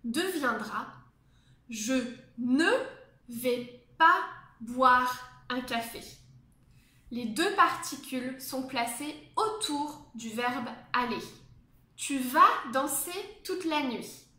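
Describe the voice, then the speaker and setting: A woman teaching a beginners' French lesson, speaking slowly and clearly and adding English glosses. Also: high voice, lively intonation, pushed and bright. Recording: echoey room.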